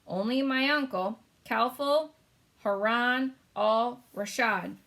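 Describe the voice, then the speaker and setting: A woman's voice: fairly high pitch, takes it slowly, fairly bright tone, voice pushed up. A woman voicing a proud, matter-of-fact sultan who speaks in formal statements, in a storybook reading.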